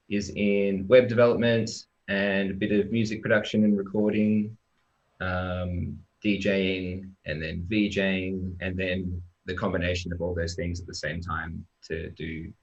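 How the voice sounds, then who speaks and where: Deep-voiced man, relaxed and chatty. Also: measured pace, muffled recording. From Australia. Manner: relaxed, chatty, with frequent ums and restarts. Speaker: software developer presenting. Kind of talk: casual presentation on a live-stream.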